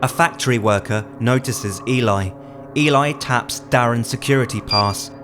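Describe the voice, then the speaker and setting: A man's voice: medium pitch, normal speed. Man narrating a screenplay over music. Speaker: writer narrating his own screenplay as audio drama. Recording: music bed under the voice.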